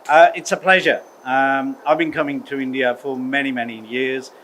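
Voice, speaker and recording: Middle-aged man from the UK, engineering department head, calm formal speaker, mid-pitched, faint background hiss. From United Kingdom. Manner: measured, uses "okay?" as a check-in. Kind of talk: formal address to students.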